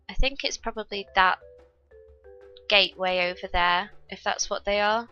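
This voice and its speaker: A woman's voice: medium pitch, pushed and bright, lo-fi sound. A young woman recovering from a cold, chatting through her gameplay and explaining each step to viewers. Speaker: gaming YouTuber. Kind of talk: casual gameplay commentary.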